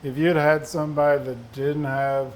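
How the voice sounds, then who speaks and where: A man's voice: a little high, little pitch movement, moderate pace, slight background noise. A man with a Southern accent, a distiller on a panel, telling folksy, rambling anecdotes. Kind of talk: casual storytelling on a panel.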